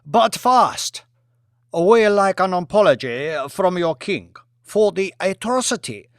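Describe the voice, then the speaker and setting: Man putting on a high-pitched, pompous, scheming Chinese premier. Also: lively intonation, slow, smooth, dry recording, studio quality, heavily acted. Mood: imperious, demanding. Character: scheming Chinese premier, thin-smiled, mocking and self-important.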